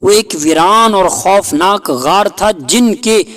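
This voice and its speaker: A man narrating a scripted folk tale in a deliberate, dramatic storytelling manner. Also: sits high, fairly bright tone.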